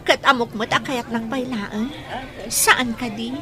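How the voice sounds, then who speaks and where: Actress as a high-voiced, forward Filipino flirt. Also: female voice, fairly even intonation, a little slow, a crowd in the background, close to an everyday voice. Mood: flirtatious, insistent. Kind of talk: audio drama acting.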